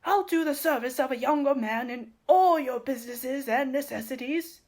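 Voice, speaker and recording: Woman playing an anxious old servant man, high-voiced. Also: a little mellow. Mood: earnest, devoted. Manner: earnest, emotive, slow declamation. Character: devoted old male servant, anxious and loyal. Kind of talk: Shakespearean radio play acting.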